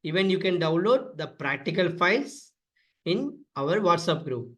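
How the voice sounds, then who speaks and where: A man's voice: high voice, big pitch swings, normal speed. A man with an Indian accent giving a step-by-step coding lesson as an instructor, in an instructional, repetitive way. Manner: instructional, repetitive phrasing, addresses listeners as friends. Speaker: tutorial instructor.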